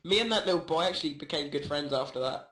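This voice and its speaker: A man's voice: on the high side, fast, crowd noise. Giggly young man in an echoey room. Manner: rambling, giggly, filler-heavy. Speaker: storyteller. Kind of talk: absurd improvised comedy story.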